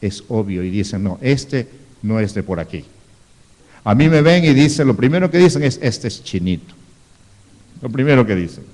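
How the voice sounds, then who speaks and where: A man preaches from the pulpit with a mellow, relaxed tone and a mid-range voice. His words ring with the long reverb of a church hall on a live stream. He teaches patiently, reading scripture and asking the congregation questions along the way. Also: mid-range voice, normal speed, pauses often. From Ecuador. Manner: teaching, rhetorical asides, reads scripture aloud. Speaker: pastor. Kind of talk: sermon.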